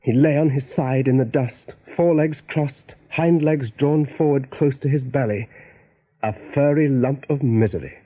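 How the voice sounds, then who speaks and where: A man narrating a radio play as a dramatic storyteller, with a husky, mellow voice. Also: medium pitch, normal speed. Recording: dull, muffled radio recording.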